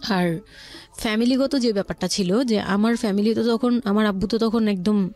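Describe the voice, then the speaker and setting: Bangladeshi woman, halting storyteller over music. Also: mid-range voice, a little mellow. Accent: Bangladeshi, Dhaka. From Old Dhaka, Bangladesh. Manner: halting, explanatory, often rephrases herself. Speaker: guest telling her life story. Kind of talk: personal storytelling in conversation. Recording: music playing behind the voice.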